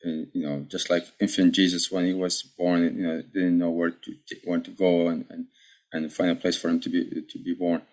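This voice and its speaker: A man in his forties, born and raised in Iraq, answering interview questions as a deacon, measured and full of pauses and restarts. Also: sits low, little pitch movement, a little mellow. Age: 40s. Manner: measured, many fillers and restarts. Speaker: deacon, podcast guest.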